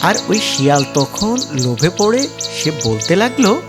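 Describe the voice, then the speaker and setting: Very animated children's story narrator. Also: medium pitch, measured pace. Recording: loud music behind.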